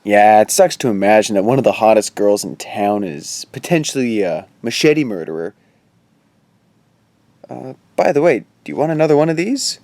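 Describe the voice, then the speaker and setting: Animated, slangy, sardonic teenage boy played by a man. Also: lowish voice, normal speed, no room sound. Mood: casual, curious. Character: wry, pop-culture-quoting skeptical teenage boy sidekick. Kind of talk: conversational audio drama acting.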